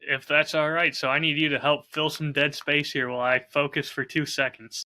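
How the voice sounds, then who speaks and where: A man chatting casually as a podcast co-host in a low-key, measured, slightly hedging way. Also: level tone, bright, forceful tone. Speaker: podcast co-host and audio drama creator.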